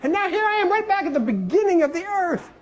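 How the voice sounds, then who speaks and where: A storyteller doing a stubborn young man on a long quest, earnest and quick to protest. He talks in a high, lively voice that swings up and down. It sounds like a folk tale told aloud. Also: background noise. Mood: bewildered. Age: young adult.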